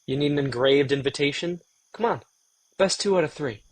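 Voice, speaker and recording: A man's voice: fairly high pitch, fairly quick, breathy edge, no room sound. A man playing a weary, sardonic, reluctant young vampire in an intimate audio drama, guarded and dry. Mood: teasing.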